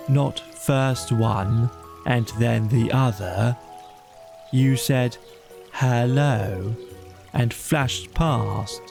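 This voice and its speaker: A man's voice: medium pitch, creaky. A man voicing a gloomy, aggrieved donkey with a ponderous, mournful, sarcastic grumble in a storybook audio play.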